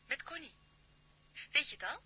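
Brisk female newsroom figure, radio drama: piercingly bright, high, slightly husky. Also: expressive, heavily acted. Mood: urgent.

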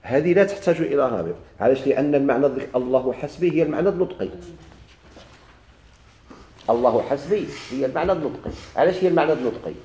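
Gravelly Moroccan man teaching grammar. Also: a little high, mellow, slight background noise. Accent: Moroccan Arabic. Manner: explains slowly, repeats and stretches key words, asks students questions. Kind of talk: recorded grammar lesson. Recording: echoey classroom recording.

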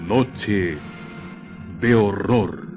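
A Chilean man narrating a scripted radio drama in a solemn, literary manner with measured phrasing. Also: on the low side, gravelly, dull audio. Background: music.

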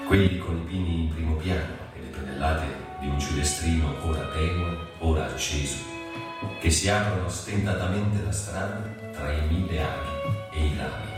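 Guy as brooding radio drama narrator, low solemn voice, huge echo, lingers on words. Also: close to an everyday voice. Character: brooding literary narrator of a suffering man's inner world. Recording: cavernous echo, distant, loud violin music. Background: loud violin music.

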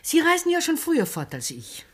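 An actress playing a lively, teasing woman in a 1960s German radio play, breezy and expressive. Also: high-pitched, a little fast. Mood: breezy, curious.

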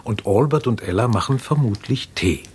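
An actor playing a courteous amateur investigator in a radio murder mystery, with a dry, gently probing manner. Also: male voice, mid-range voice, animated, normal speed, slight background noise, natural voice. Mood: polite, apologetic. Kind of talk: German radio crime play acting.

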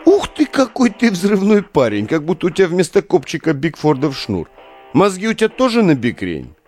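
An actor playing a needling, gruff relic digger in an audio drama, sarcastic and slangy as he taunts. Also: a little high, wildly swooping pitch, normal speed, a little mellow, faint music behind. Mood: mocking.

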